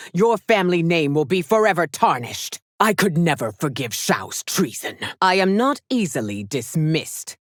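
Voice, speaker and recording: A haughty game empress, lowish voice with big swings, stern n formal, super dry sound. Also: female voice, dry recording, heavily acted. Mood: commanding. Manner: imperious, formal, deliberate, theatrical diction. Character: haughty, regal warrior empress, stern and commanding. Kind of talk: video game character voice lines.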